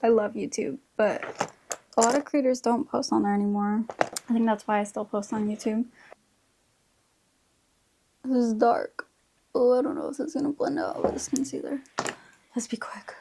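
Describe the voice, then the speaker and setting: A woman's voice: on the high side, fairly even intonation, normal speed, vocal fry, pauses often, background noise. A woman in her mid twenties chatting casually through a makeup tutorial in informal, slangy speech. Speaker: beauty vlogger.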